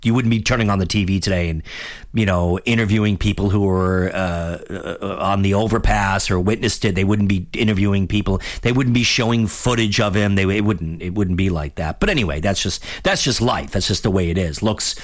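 A man of fifty hosting a radio talk show, giving a blunt, rambling opinion monologue with many restarts, his voice getting hoarse. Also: low-pitched, a little fast. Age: 50s. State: losing his voice.

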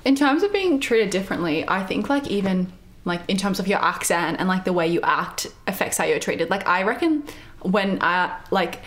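A woman's voice: medium pitch, animated, moderate pace, a bit bright. A young woman chatting casually, agreeing often and laughing easily. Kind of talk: casual conversation.

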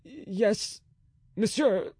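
A man's voice: high-pitched, lively intonation, drawn-out words, darkish tone, husky, no room sound, clean studio sound, pitched above the performer's own voice, full character voice. A man voicing a dutiful, timid officer who speaks hesitantly and stammers.

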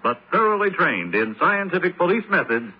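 An American man announces on 1950s radio in scripted narration. His intonation is lively and varied. The old recording sounds a little muffled. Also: high voice, normal speed, slightly rough.

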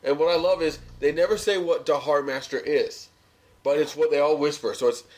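A man's voice: fairly high pitch, brisk, low-quality recording. An American man co-hosting a podcast, chatting casually through a review in an opinionated, sardonic way. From United States. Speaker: podcast co-host.

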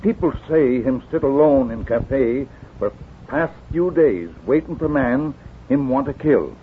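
A tinny, slightly noisy old radio western recording. A man plays a loyal, earnest sidekick with a middling male pitch, talking plainly in halting, clipped broken phrases. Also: expressive, close to an everyday voice. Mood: reporting, earnest. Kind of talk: 1950s radio western acting.

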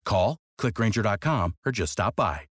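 A man's voice: fairly low pitch, expressive, normal speed, a bit bright, dry recording, clean studio sound. A man reading a scripted radio-style ad in an upbeat, persuasive way. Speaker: ad announcer. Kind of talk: scripted ad read.

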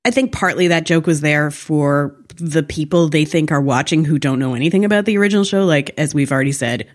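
A woman's voice: sits low, fairly quick. A woman co-hosting a casual recap discussion, talking in an opinionated, freely sweary way. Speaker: podcast co-host.

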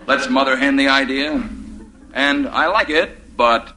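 An actor playing a flustered, touchy werewolf who poses as a slick advertising executive, hemming and clearing his throat in a radio comedy sketch. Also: medium pitch, unhurried, natural voice. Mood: confessional, self-satisfied. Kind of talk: 1950s radio comedy sketch acting and narration. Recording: noisy vintage 1950s radio recording.

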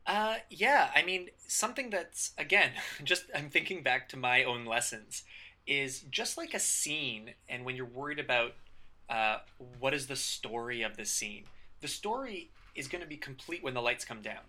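A man co-hosting an improv podcast, chatting casually, riffing on examples with animated emphasis and the odd swear. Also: medium pitch, moderate pace. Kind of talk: casual instructional chat.

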